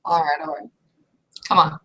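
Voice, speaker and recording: A woman's voice: sits low, flat delivery, moderate pace, sharp tone, husky, heavily acted. A woman playing a blunt, kindly, world-weary female troll in a casual tabletop roleplay. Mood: encouraging.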